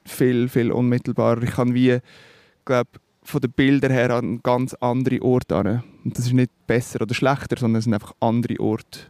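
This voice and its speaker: A man's voice: mid-range voice, little pitch movement, moderate pace, darkish tone, dry recording. A man with a Swiss German accent, a musician being interviewed, talking thoughtfully and hesitantly with fillers and restarts. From Switzerland. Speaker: musician guest. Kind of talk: reflective interview talk.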